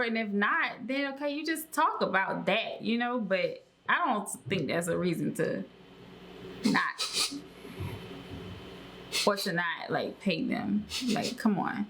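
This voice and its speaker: A woman's voice: on the high side, a little slow, fairly bright tone, slight background noise. An American woman co-hosting a podcast, talking casually in a slangy, conversational way. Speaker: podcast co-host.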